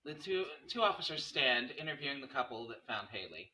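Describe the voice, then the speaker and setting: A man's voice: medium pitch, sing-song, fairly quick, bright, forceful tone, low-quality recording. A man reading stage directions aloud as the narrator of a table read.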